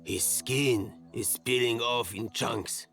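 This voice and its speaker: A man's voice: medium pitch, gravelly, a bit bright. An actor playing a worried, plain-spoken village man who talks bluntly. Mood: grim. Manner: blunt, unhurried. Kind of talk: video game voice acting. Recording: music behind the voice.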